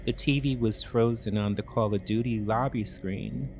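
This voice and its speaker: A man narrating a scripted first-person psychological thriller in a deliberate way, with short weighted phrases and dramatic pauses. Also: on the low side, steady tone, gravelly, a little mellow, a little hiss or hum. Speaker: story narrator.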